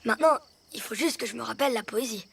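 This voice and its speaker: A woman's voice: a little high, quick pace, creaky. A woman voicing a scatterbrained, food-loving little puppy rascal in a cartoon, dopey and earnest. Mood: forgetful, earnest. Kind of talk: French animated series dubbing. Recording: music under the voice.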